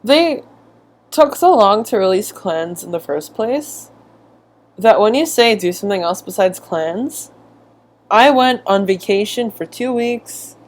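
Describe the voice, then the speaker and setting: A woman's voice: medium pitch, a little slow. Sarcastic woman giving casual commentary. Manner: sarcastic, animated mimicking. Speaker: video commentator.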